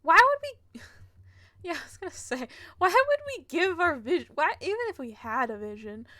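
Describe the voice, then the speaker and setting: A woman's voice: high voice, very animated, normal speed, sharp tone, dry recording, clean studio sound. A woman streaming a video game with casual live commentary and playful, sarcastic asides.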